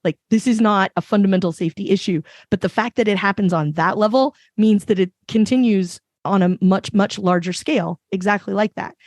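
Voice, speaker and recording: Low-voiced woman, emphatic panelist. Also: brisk, hardly any pauses, a little mellow, dry recording, studio quality. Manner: passionate, emphatic, long run-on points. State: recovering from illness, voice a bit rough. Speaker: podcast panelist. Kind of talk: casual panel discussion.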